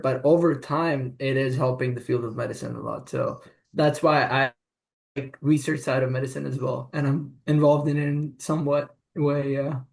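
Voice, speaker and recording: A man hosts a podcast interview in a relaxed, conversational way. He affirms what his guest says and tends to string his thoughts into long, flowing sentences. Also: a little high, gravelly. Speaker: podcast host. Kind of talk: casual interview chat.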